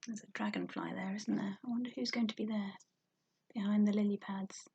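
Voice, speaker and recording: A woman reading a picture book aloud to young children, animated and sing-song, drawing out words and asking questions. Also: mid-range voice, brisk, husky, dull audio. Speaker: storytime reader for young children.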